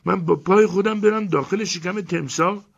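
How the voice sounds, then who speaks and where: A man reading aloud and voicing a quick-tempered young wife who shrieks and complains in indignant bursts. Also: medium pitch, expressive, slightly rough, voice pushed up. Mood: shrieking. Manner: shrieking, exasperated, rapid complaints. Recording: dull lo-fi recording.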